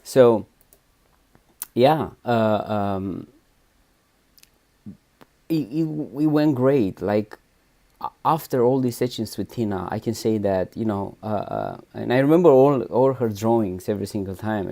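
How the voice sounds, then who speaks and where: A man with a Romanian accent talks through a personal testimonial in a low, gravelly voice. His tone is dark and mellow. He hesitates, repeats words and restarts often, checking in with "right?". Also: a little slow, pauses often. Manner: hesitant, many restarts and fillers, frequent "right?" tags. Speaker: therapy client giving a testimonial. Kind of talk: unscripted spoken testimonial.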